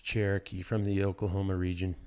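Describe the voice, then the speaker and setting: Podcast guest: American man, lower-pitched, deadpan humor, blunt slangy delivery in casual conversation. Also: brisk, clear, resonant tone. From United States. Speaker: podcast guest, dirt bike rider. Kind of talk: casual chat.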